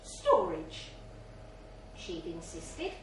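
A woman's voice: medium pitch, huge pitch swings, drawn-out words. A woman reading a literary short story aloud as scripted narration at a live event in a bar. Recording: very echoey, distant mic, faint noise.

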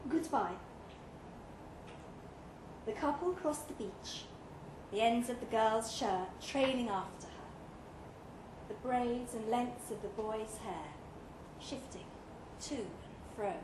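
A woman reads a short story to a live audience with expressive delivery. Her voice is fairly high and her pace is slow and measured. The room is echoey, with clear noise behind her. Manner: acts out dialogue in character. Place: pub event room, live reading.

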